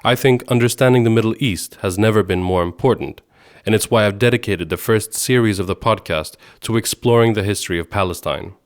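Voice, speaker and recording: A man with a low-pitched voice hosts a podcast. He reads scripted narration in a measured, articulate way, like a radio journalist introducing his program. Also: clear, resonant tone. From Sweden, grew up in Egypt and Jordan.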